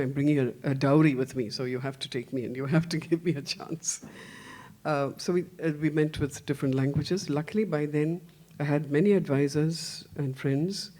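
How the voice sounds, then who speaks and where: Self-deprecating older woman, rambling. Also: sits low. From India. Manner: rambling and self-deprecating, with frequent restarts and soft laughs. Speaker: panelist, translation editor. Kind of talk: panel discussion. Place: literature festival panel.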